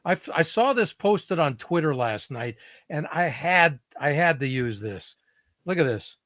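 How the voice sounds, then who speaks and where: A man hosting a casual interview show over a video call, friendly and quick to agree. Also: a little high, expressive, fairly bright tone, dry recording, clean studio sound. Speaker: host.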